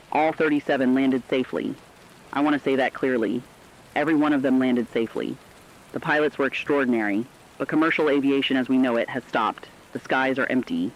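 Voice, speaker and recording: A man playing a solemn commentator recounting a catastrophe in scripted radio narration, deliberate, literary and emphatic. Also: medium pitch, highs cut off, natural voice.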